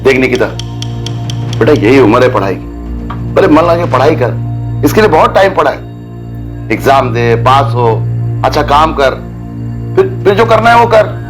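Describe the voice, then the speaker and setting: A man's voice: mid-range voice, very animated, normal speed, long pauses, close to an everyday voice. An actor playing a worried middle-aged father in a TV crime drama, colloquial and insistent. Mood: scolding, pleading. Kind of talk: TV crime serial acting. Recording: dramatic music behind the voice.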